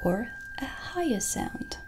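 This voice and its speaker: Slow, breathy whispering woman, ASMR style. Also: very high-pitched, huge pitch swings, noisy background. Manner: soft, slow, soothing, intimate. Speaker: ASMR host playing a witch shopkeeper. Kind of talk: ASMR roleplay, whispered instrument showcase. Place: small dim indoor set.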